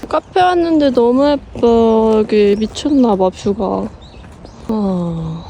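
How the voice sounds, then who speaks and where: A woman's voice: on the high side, takes it slowly, mellow, a little hiss or hum. A woman from North Korea chatting casually with friends as a vlogger, in an informal, giggly way. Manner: informal, often laughing while talking.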